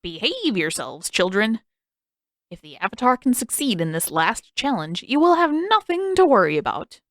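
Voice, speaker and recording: A woman voicing a sly, scheming old king who taunts slowly in a fan fiction audiobook reading. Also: a little high, sing-song, no room sound, studio quality. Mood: mocking.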